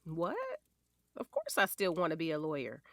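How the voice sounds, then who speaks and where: A woman's voice: low voice, lively intonation, normal speed, fairly bright tone, no echo. A woman playing a doting but firm wife and mother in her forties, teasing and sassy, in a naturalistic audio drama.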